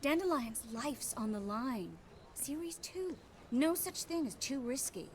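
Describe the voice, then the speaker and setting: An actress voicing a resolute young-sounding sorceress in a video game, speaking in an earnest, assured manner. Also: female voice, high voice, lively intonation, a little slow, slight background noise. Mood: urgent, persuasive. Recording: music behind the voice.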